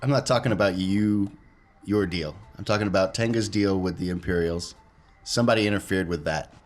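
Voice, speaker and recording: A man playing a tabletop role-playing game, talking casually in a firm, deliberate, argumentative way. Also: deep voice, faint music behind. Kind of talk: casual in-character and table talk.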